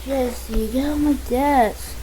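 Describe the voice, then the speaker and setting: A woman's voice: sits high, steady tone, slow pace, warm tone. A young woman in her teens giving casual gameplay reactions and reading along at home. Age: teens, high-school student. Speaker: gameplay commentator. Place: at a desk at home. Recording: noisy home recording, dog barking. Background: dog barking, game audio.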